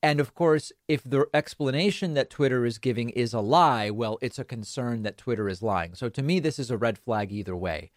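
Man, commentary host, measured, conversational, dry studio sound. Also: medium pitch, no room sound. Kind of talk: political commentary monologue.

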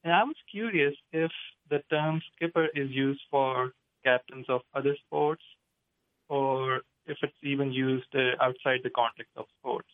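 A man's voice: mid-range voice, measured pace, clean tone. A man from Pakistan calling into a show, asking his question casually over a narrow phone line. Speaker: caller. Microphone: telephone.

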